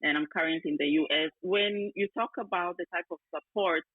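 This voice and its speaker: A man from South Sudan speaks earnestly on an online panel, sometimes repeating words as he looks for the next one. His voice is husky and breathy. The sound is thin and phone-like, with no room echo. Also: high voice, a little fast. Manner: earnest, repeats words while searching for them. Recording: tinny phone-like call audio.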